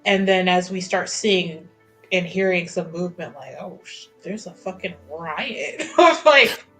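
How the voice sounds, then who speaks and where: A woman talks casually as a player at a tabletop game. Her voice is medium in pitch and unhurried, with faint music playing behind her. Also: expressive, a bit bright.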